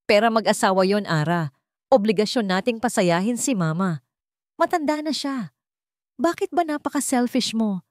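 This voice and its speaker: A woman's voice: fairly high pitch, expressive, moderate pace, no room sound, studio quality, voice pushed up, lightly acted. A Filipino woman voicing an arrogant, bullying husband in an audio drama story, with a scornful, blustering manner. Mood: scornful, angry.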